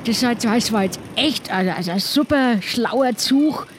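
Female player as sly, chummy pirate pal: highish voice, loose everyday speech, improvised audio adventure, slightly noisy recording. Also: lively intonation, unhurried. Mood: impressed, teasing. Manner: colloquial, effusive, teasing. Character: chummy, cunning pirate adventurer conning a trader.

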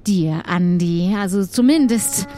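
A woman performs an aloof witch for an improvised radio play. The witch speaks formally and takes measured care over each phrase. The manner is haughty and bargaining. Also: on the low side, lively intonation, warm tone, voice pushed down. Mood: sly, measured. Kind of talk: improvised audio drama acting.

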